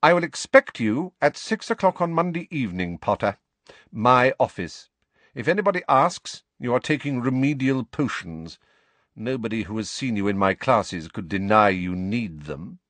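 A man reading an audiobook and voicing a cold, sneering male wizard teacher who speaks silkily and softly. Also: mid-range voice, sing-song, moderate pace, dry recording. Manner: silky, sneering, soft-spoken.

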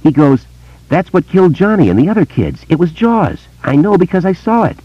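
A man's voice: high-pitched, fairly quick, no echo, pitched above the performer's own voice. A man voicing a chatty eager young boy. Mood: excited. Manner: breathless, excitable, slangy kid talk. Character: curious chatty small-town boy, eager storyteller. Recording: dull lo-fi dry recording.

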